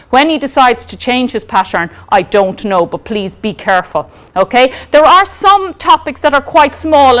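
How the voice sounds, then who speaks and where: A woman with an Irish accent giving a law lecture to a class, talking loudly and informally, often checking in with "okay?". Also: fairly high pitch. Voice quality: loud, carrying voice. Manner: chatty, checks in with frequent "okay?". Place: lecture room.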